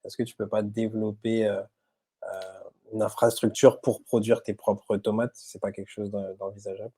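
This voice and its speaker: A man giving casual, unscripted business advice through questions, with a halting delivery and frequent restarts. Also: on the low side, little pitch movement, mellow, husky. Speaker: business adviser asking questions.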